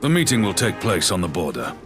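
Sober video game military man: low, formal, businesslike. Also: huge pitch swings, brisk, music in the background. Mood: serious.

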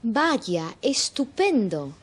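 An actress playing a playful young woman flatmate with a cold, in a slow, clear sitcom for learners. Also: a little high, slight background noise, full character voice. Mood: teasing. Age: young adult.

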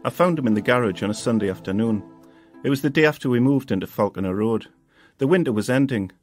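A man, the author reading his own novel in a quiet, steady first-person voice. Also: mid-range voice, fast, faint music behind. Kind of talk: audiobook reading.

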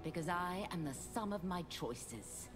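Low, expressive demon warrior. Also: female voice, measured pace, over music. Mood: stern. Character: stern, composed female demon warrior. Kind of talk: video game character dialogue.

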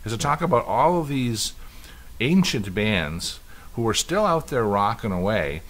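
Fifties guy rambling through a story on a chatty podcast, lowish voice. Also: very animated, unhurried, a bit bright. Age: 50s. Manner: rambling storyteller with many restarts. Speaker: podcast co-host. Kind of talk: casual chat.

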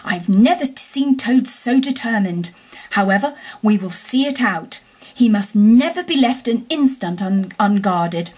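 A stern, fatherly storybook badger, played by a woman reader. He speaks with grave, oratorical authority and a husky edge, firm and measured in his phrasing. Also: medium pitch, lively intonation, darkish tone. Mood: weary, resolved.

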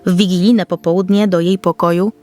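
A woman narrates a scripted children's story as storyteller. Her voice sits slightly low, with soft music running behind it. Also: steady tone, fairly quick.

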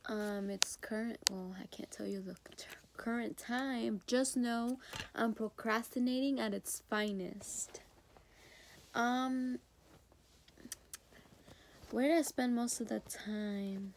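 A sick teenage girl with a hoarse voice, talking hesitantly to her phone camera in her bedroom for a school video diary. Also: steady tone, slow, long pauses, a little hiss or hum. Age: teens. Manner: hesitant, many ums, soft and low-key. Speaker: high school student. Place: bedroom indoors. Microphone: phone or laptop camera at arm's length.

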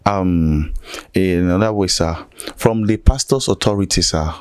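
A man's voice: sits low, moderate pace, a little hiss or hum. A Nigerian man in his thirties asking interview questions in a hesitant, deferential manner. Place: small furnished room indoors, on a sofa. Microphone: handheld interview microphone.

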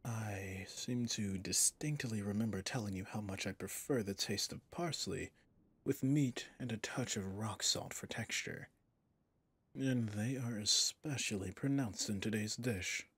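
A man playing a possessive villain boss in an intimate ASMR roleplay, speaking formally and commandingly yet indulgently, in a husky, breathy voice. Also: fairly low pitch, measured pace, fairly bright tone, full character voice. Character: smooth possessive male villain boss, doting on favorite servant.

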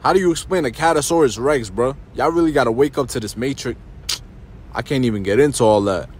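A man's voice: mid-range voice, quick pace, a little mellow, slightly rough. A man giving a casual, mock-paranoid conspiracy rant.

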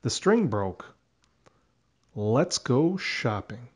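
A man's voice: medium pitch, very animated, very slow, breathy edge, full character voice. A man voicing an easygoing, kind old critter grandpa in a storybook, folksy and matter-of-fact.

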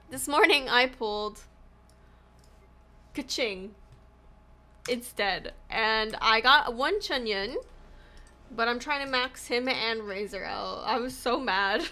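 A woman livestreamer giving casual, animated, slangy commentary while playing a game. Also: fairly high pitch. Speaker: gaming livestreamer. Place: small indoor room at home.

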